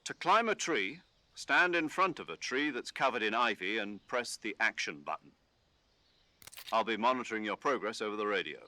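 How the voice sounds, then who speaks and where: A calm commanding officer. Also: male voice, on the low side. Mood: steady. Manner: measured, instructive briefing tone. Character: calm British male commanding officer on the radio. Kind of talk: game dialogue.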